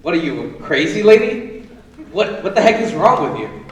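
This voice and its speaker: A man's voice: on the high side, very animated, moderate pace. A man playing a brusque, scornful, impatient jail guard in a staged reading. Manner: brusque, scoffing. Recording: echoey room from far off, faint noise.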